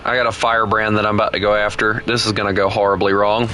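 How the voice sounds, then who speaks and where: A man's voice: lowish voice, little pitch movement, fast, sharp tone, a little hiss or hum. A man streaming a flight game with a friend, chatting casually in a playful, teasing way. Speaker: gaming streamer. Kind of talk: casual gameplay chat with a friend.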